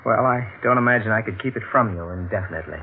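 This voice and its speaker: An actor playing an evasive, guarded husband in a 1940s radio drama, with a polite, clipped manner. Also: male voice, fairly low pitch, fast talker, close to an everyday voice. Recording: thin, tinny old radio recording.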